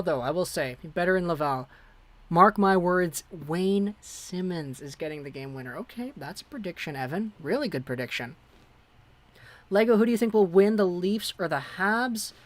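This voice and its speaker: A man's voice: sits high, lively intonation, normal speed, fairly bright tone, no echo. Chatty young man hosting a livestream. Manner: chatty, thanks subscribers, reads chat aloud. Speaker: livestream host. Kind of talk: casual live chat, reading viewer messages.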